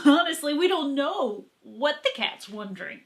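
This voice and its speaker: Slow-paced, high-voiced woman teaching children. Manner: expressive, deliberate, addressing young children. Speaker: children's art teacher and host. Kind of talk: children's lesson and storybook read-aloud.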